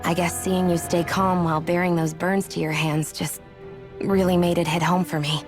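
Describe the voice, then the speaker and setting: A driven young warrior woman from a game cutscene, played by an actress, proud and curt. Her voice is on the low side and coolly guarded, with music clearly behind it. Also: little pitch movement, moderate pace, natural voice. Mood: guarded, defiant. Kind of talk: video game cutscene acting.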